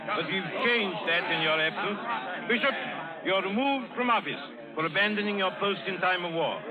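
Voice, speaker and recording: An actor playing a stern, haughty lord and royal emissary, formal and authoritative, in a 1930s radio drama. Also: male voice, high-pitched, lively intonation, normal speed, muffled recording. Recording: old radio broadcast, crowd noise behind.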